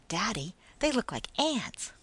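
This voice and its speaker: A woman voicing an excited, restless little boy who speaks high and eagerly. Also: takes it slowly, breathy edge, heavily acted.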